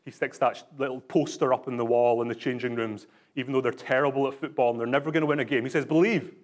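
A man's voice: mid-range voice, fairly even intonation, fast talker. A man from Scotland with a Scottish accent, a pastor giving a conversational sermon full of rhetorical questions and fillers.

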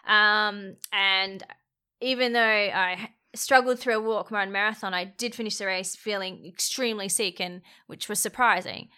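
A woman talking casually as a podcast guest, soft and reflective, trailing off with fillers. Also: mid-range voice, fairly even intonation, normal speed, clean tone. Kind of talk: casual interview chat.

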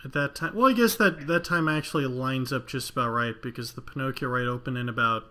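A man's voice: mid-range voice, fast, sharp tone. A man hosting an interview, asking eager, enthusiastic questions. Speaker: interview host. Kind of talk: casual interview chat.

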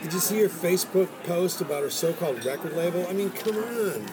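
A man's voice: high-pitched, animated, brisk. A man playing a scornful, gossiping Hollywood agent in a comedy radio play, with emphatic delivery, amid restaurant chatter. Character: catty, dismissive male Hollywood agent gossiping. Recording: busy restaurant chatter around the voice.

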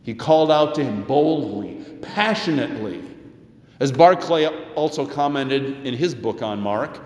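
A male pastor delivers a sermon in a big, reverberant hall. His mid-pitched voice rises and falls with lively swings as he stresses key phrases and pauses deliberately. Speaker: pastor preaching. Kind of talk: sermon with scripture and commentary quotes. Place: large echoing church or hall. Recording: echoey hall with long reverb.

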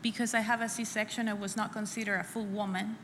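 Middle-aged woman, bright and sharp tone, earnest testimony speaker in a reverberant church hall. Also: mid-range voice, level tone. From El Salvador. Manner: earnest and halting, repeats phrases. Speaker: conference speaker sharing her testimony. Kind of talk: personal testimony at a church women's conference. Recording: echoey hall with faint room noise.

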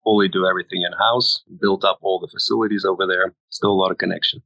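Male founder from Singapore, bright forward voice on the low side, casual interview talk. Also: fairly even intonation, fast, creaky, dry recording, low-quality recording. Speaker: company founder, interview guest.

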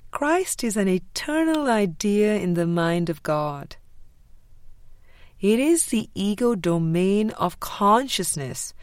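A woman narrating a spiritual audiobook in a measured, deliberate way with frequent pauses. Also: medium pitch, animated, dry recording, studio quality. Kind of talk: scripted spiritual book reading.

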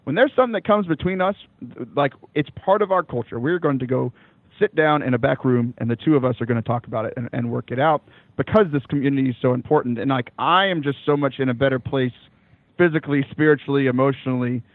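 A young man with a loud voice, talking casually as an interview guest, animated, with many fillers and restarts, laughing often. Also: mid-range voice, fast, no room sound. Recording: dry, phone-like call line.

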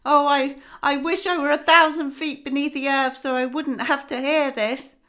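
A woman's voice: on the high side, normal speed, fairly bright tone, pitched above the performer's own voice, close to an everyday voice. A woman voicing a guilty, terrified stepmother with anxious, fearful outbursts.

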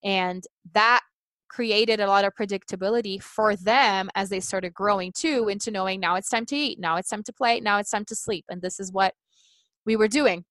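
A woman's voice: mid-range voice, normal speed, fairly bright tone, no echo. A woman in her thirties whose first language is Portuguese, a life coach talking earnestly as an interview guest, thinking aloud with restarts and frequent "you know"s. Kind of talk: casual interview chat.

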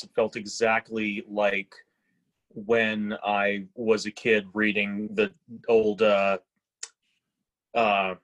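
A man with a fairly low voice shares casual impressions in a group discussion. His delivery is halting, circling back over words as he searches for the next. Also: a bit bright, low-quality recording.

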